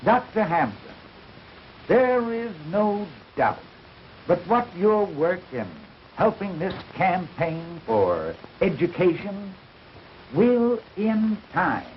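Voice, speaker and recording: A solemn, high-minded gentleman in a 1930s film. He speaks slowly and grandly, on a dull soundtrack with faint hiss. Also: big pitch swings, heavily acted. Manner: formal, grandiose. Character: solemn, high-minded benefactor of a health campaign. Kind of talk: slow oratorical 1930s film acting.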